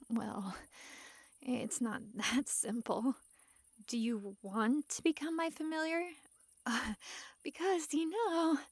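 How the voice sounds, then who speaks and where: Woman as young kitsune girl for fantasy ASMR roleplay: coy, doting, coaxing, slow delivery, very dry recording. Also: on the high side, no echo. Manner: soft, breathy sighs and giggles, coaxing, hesitant asides. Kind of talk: intimate ASMR roleplay acting.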